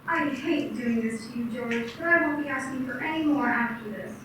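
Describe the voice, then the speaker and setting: A wry, resolute young woman, played by a woman with a high voice, speaking quietly. She sounds far off in an echoey room with noise clearly heard behind her. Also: moderate pace, close to an everyday voice. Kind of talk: amateur radio play acting.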